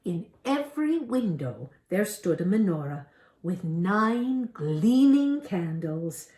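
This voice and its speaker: Slow older woman storyteller, roomy sound. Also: medium pitch, very animated, mellow. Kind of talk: expressive story reading. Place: indoor room at home, by lit candles. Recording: roomy echo.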